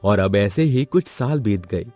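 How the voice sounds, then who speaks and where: Man, scripted story narration, expressive swings in pitch, music behind. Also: unhurried.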